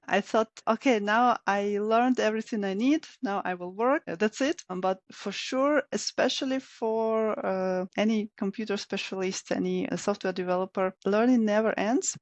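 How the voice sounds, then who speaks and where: A woman. She is a guest and tells her story in a measured, thoughtful way with small pauses. Her voice sits mid-height for a woman and comes across dry and close to the mic. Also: medium pitch, no echo, highs cut off. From Russia. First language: Russian. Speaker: guest, software developer. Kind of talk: casual interview talk.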